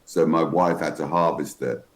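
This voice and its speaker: A man in his fifties with a gruff voice, telling rambling stories in a casual online group chat. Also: extremely low pitch, fairly even intonation, normal speed. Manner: rambling, self-interrupting storyteller. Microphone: online call.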